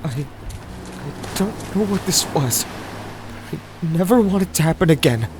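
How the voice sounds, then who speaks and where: A woman's voice: low-pitched, animated, measured pace, background noise. A woman playing a distressed, complaining cave-dweller in a fantasy audio drama, exclaiming dramatically. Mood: shaken.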